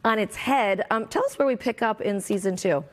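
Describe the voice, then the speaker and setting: A woman hosting a TV talk show interview, upbeat and quick to answer with short yeses. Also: medium pitch. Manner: upbeat, quick affirmations, laughs easily. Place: TV studio.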